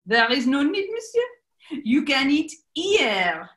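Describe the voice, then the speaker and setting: A woman's voice: high voice, huge pitch swings, slow, fairly bright tone, full character voice. A woman playing a formal, flamboyant French maitre d' with a French accent in a 1940s-style radio comedy. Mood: formal, obliging.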